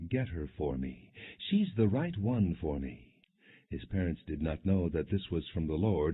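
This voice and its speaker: A man narrating scripture as a scripted audiobook, with a measured, expressive delivery on slightly muffled audio. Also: low voice, breathy edge.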